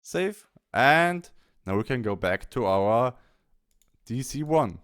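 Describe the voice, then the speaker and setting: A man presenting a software tutorial, explaining each step as he works, with keyboard and mouse clicks. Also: mid-range voice, animated, slow pace, clear, resonant tone, no room sound, studio quality. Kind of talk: software tutorial narration, explaining steps while working.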